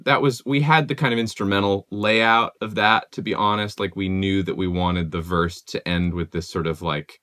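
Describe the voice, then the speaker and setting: A man's voice: deep voice, moderate pace, few pauses. A young man in his twenties, a music producer, casually explaining how a song was made in his home studio, with many "like" fillers. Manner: relaxed, conversational, many "like" fillers.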